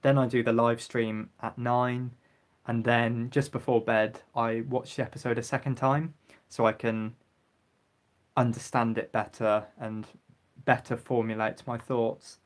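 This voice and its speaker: A young man chats casually on a live stream, reading comments aloud and answering each one. His voice sits at an ordinary pitch, and he keeps filling pauses with ums. His throat is getting sore, and now and then he clears it. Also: flat delivery. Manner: chatty, frequent ums, clears throat and coughs.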